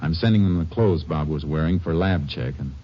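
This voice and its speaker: An actor playing a courteous, steady Texas Ranger in a 1950s radio drama, with an American accent and a measured, understated delivery. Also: male voice, rumbling low. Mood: solemn, gentle. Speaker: lead actor and first-person narrator. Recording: dull vintage radio recording with music.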